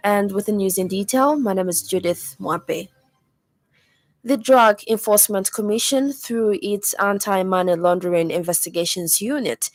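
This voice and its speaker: A woman with a Zambian accent reading a scripted radio news bulletin in a formal, measured way. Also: medium pitch, no echo. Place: radio studio.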